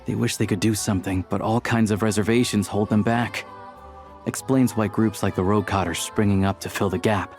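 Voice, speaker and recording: An actor voicing an easygoing, practical young hunter in a game dub, casual, friendly and thoughtful. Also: male voice, fairly low pitch, steady tone, a little fast, a little mellow, soft background music, lightly acted. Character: easygoing, practical young male hunter doing odd jobs. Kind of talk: English game dub dialogue.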